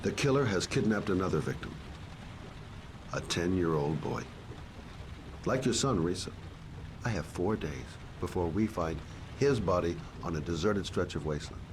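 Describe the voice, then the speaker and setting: A man doing a deep-voiced weary private eye. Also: animated, normal speed, pauses often, noisy background. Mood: earnest. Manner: soft-spoken, earnest, sympathetic. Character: weary middle-aged male private detective, gentle.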